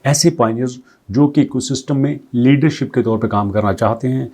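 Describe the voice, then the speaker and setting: A man with a warm, darkish voice at a middle pitch, hosting a crypto news channel. He walks through the update in an explanatory, conversational way, stacking up rhetorical questions and restating points in plain terms. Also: a little fast. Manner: reads English passages aloud, then explains them conversationally; poses strings of rhetorical questions. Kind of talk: explanatory commentary.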